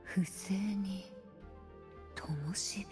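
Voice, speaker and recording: A woman's voice: mid-range voice, completely flat delivery, drawn-out words. An actress playing a composed, aloof woman informant in a video game, cool and matter-of-fact. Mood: cool, informative. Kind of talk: Japanese video game voice acting. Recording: reverberant game audio with music.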